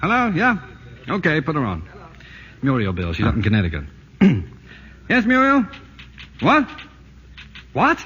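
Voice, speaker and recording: An excitable husband sputters exasperated questions in a bright, very swoopy voice. The delivery is old-time radio comedy, on a faintly hissy vintage recording. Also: male voice, fairly high pitch, unhurried, long pauses, dull audio, exaggerated voice. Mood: excited, bewildered. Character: excitable, flustered husband and ad man building a house. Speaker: lead actor in a radio comedy. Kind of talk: 1940s radio acting.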